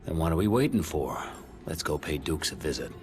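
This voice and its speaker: An actor playing a gruff, sardonic mutant antihero in a video game, with terse, tough delivery. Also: male voice, animated, a little fast. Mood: gruff, determined. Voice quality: gruff, low. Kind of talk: video game cutscene acting. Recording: game music behind the voice.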